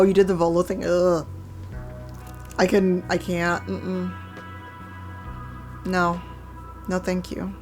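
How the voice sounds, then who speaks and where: A woman streaming a game, chatting casually with a hoarse, croaky voice and a wry, chatty manner. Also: on the low side. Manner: chatty, wry, reads chat aloud. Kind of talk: casual live-stream chat while gaming.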